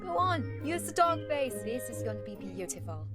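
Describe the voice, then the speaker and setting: A woman plays a sardonic cartoon alley cat with a high voice that swoops theatrically. She wheedles and needles with plenty of sarcasm, over clearly audible music. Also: very animated, moderate pace. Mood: wheedling, bossy. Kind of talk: amateur performance of an animated film scene.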